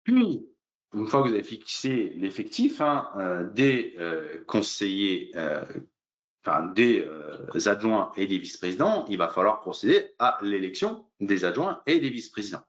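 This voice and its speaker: Homme à la voix grave, intonation très variée. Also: male voice, lowish voice, big pitch swings, a little slow, muffled recording. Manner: hesitant, didactic, step-by-step with frequent fillers. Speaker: lawyer presenting a legal webinar. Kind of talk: explanatory legal presentation, semi-improvised.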